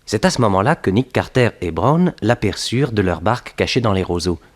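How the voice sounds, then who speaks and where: An actor serving as narrator of a 1960s French radio detective serial, reading scripted storytelling passages. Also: male voice, fairly low pitch, expressive, fairly quick.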